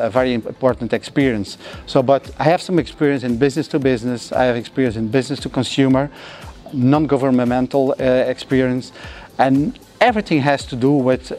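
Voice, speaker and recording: A middle-aged man from Belgium who speaks with a Flemish accent and has Flemish as his first language, answering interview questions thoughtfully with frequent pauses and non-native phrasing. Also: mid-range voice, darkish tone. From Belgium (Flanders). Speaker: interviewee, entrepreneur.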